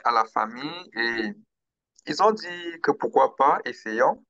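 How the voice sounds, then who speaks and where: A young man from Cameroon, speaking slowly and hesitantly as an interview guest about his own story. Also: mid-range voice, expressive, pushed and bright, dry recording, muffled recording. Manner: slow, hesitant, with pauses and restarts. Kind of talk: interview, personal account.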